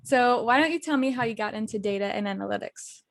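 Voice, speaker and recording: A woman's voice: fairly high pitch, quick pace, dry recording, clean studio sound. A woman hosting a podcast interview, asking friendly, encouraging questions in a casual way. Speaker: podcast host.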